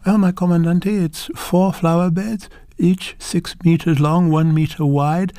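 A man's voice: fairly even intonation, measured pace, mellow, dry recording. A shy elderly grandmother speaks in a quavering, lifted voice, as a male narrator puts her on. She lists her garden and tools one by one, earnest and plain. The sound is dry and close. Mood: shy, proud. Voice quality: quavering, higher voice. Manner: earnest, listing things one by one.

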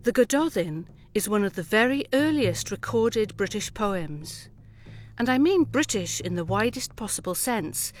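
A woman from Wales, a Welsh speaker, narrating a radio documentary in a measured, reflective way. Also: medium pitch, expressive, faint music behind. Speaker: poet presenter.

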